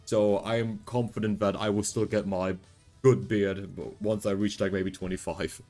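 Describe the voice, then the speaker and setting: Deep-voiced young man chatting casually. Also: fairly even intonation, moderate pace, darkish tone, soft background music. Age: 20s. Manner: rambling, reassuring, chatty with frequent fillers. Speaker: streamer. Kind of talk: casual gameplay chat.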